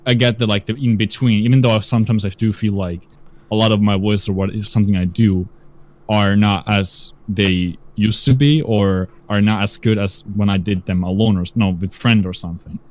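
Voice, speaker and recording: A young man chats on a live stream. his voice sits a little low, and he rambles as he gropes for words, leaning on "like" and "um" along the way. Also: steady tone, normal speed, a little mellow. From Russia. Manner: rambling, searches for words with many fillers. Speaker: guest. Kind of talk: casual stream chat.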